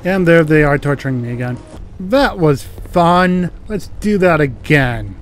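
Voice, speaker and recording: Slow-talking, high-pitched man doing wry game commentary. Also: lively intonation, soft background music. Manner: wry, sarcastic. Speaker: gamer recording a let's play.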